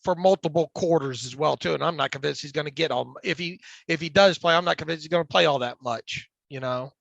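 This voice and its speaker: A man co-hosting a casual sports podcast, with a stutter and a gravelly smoker's voice, often restarting and repeating words. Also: on the high side, quick pace, fairly bright tone, dry recording. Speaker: podcast co-host. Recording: dull lo-fi dry sound.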